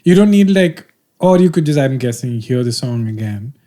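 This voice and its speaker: A man in his thirties hosts a relaxed podcast chat, his voice dark and mellow. He teases his guest, restarting sentences and filling gaps with "uh" and "like". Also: mid-range voice, moderate pace. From India. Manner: playful, teasing, with many fillers and restarts. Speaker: podcast host. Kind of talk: casual chat.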